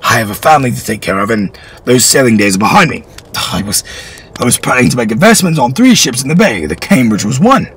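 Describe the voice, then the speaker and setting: A man reading aloud, voicing a stern middle-aged father, once a sailor, who pleads and then shouts in rage. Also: mid-range voice, very animated, fast, slight background noise. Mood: pleading.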